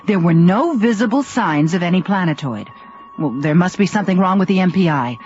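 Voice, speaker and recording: An actress playing a firm, skeptical scientist who runs a space observatory in a radio drama. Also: female voice, fairly low pitch, animated, a little fast, a little mellow, lightly acted. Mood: skeptical, exasperated. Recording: dull vintage radio recording with music.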